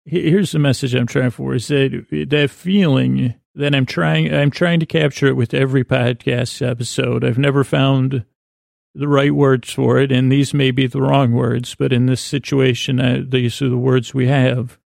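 Male podcast host, very gravelly fry, dry close sound, halting and mumbly, whimsical self-deprecating bedtime rambles. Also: mid-range voice, steady tone, a little mellow, no echo. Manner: meandering, halting, stammering and repeating words.

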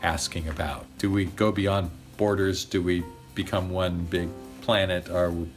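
Emphatic low-voiced man explaining. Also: fairly even intonation, unhurried, faint music behind. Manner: emphatic, rhetorical questions. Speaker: flag expert guest. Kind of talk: lively explanatory interview talk.

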